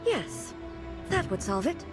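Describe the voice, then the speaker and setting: A woman playing a dignified, sheltered, ageless goddess ruler in a video game, speaking formally in an earnest, measured, contemplative way. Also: fairly high pitch, big pitch swings. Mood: puzzled, pondering. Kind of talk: video game character acting. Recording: music behind the voice. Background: game music.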